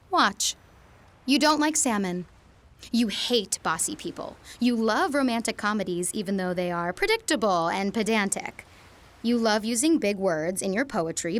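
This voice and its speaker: A young woman playing a lovestruck, self-doubting high school girl in an audio drama, with an emotional, searching delivery. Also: lightly acted.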